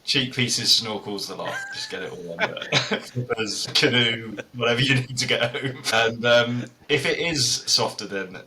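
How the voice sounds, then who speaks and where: Bright-voiced man giving racing tips. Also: medium pitch. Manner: chatty, jokey, quick to laugh. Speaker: podcast co-host. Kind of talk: casual horse racing tipping chat.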